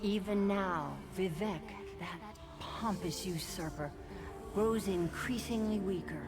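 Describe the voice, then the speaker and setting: Slow, imperious goddess. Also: female voice, mid-range voice, music in the background. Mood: grave, commanding. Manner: formal, grave, measured delivery. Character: imperious female goddess, stately, disdainful, commanding. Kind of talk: fantasy video game acting.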